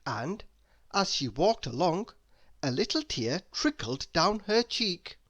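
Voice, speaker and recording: A woman reading a children's story aloud, expressive and deliberate, with dramatic emphasis. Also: on the low side. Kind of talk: children's read-aloud narration.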